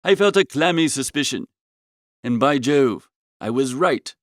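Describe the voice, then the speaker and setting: A man's voice: mid-range voice, lively intonation, measured pace, dry recording, studio quality. A man reading a first-person comic story as an audiobook, with a breezy, theatrical manner. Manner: breezy, theatrical, old-fashioned upper-class idiom. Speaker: audiobook reader.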